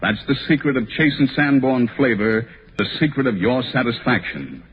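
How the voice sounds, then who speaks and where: A man's voice: medium pitch, normal speed. A man announcing with a polished, declarative sales delivery in 1940s radio commercial style. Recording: hissy, muffled old radio recording.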